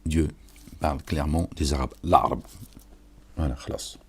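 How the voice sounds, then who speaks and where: A man's voice: rumbling low, fairly even intonation, normal speed, vocal fry, slightly rough. A man giving an informal, sermon-like reflection on scripture, preaching and addressing his listeners familiarly with frequent check-in questions. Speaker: online religious and political commentator.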